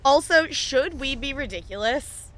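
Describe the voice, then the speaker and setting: A woman's voice: high voice, lively intonation, a little slow, fairly bright tone. A woman streamer chatting playfully and rambling through casual live commentary on a game.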